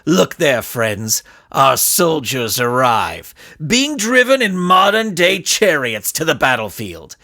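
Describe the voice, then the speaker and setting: A man's voice: on the high side, very animated, slow pace, few pauses, pushed and bright, heavily acted. A man voicing a scheming supervillain with a skull head, speaking in pompous, bombastic speeches for a storybook. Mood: gleeful, commanding.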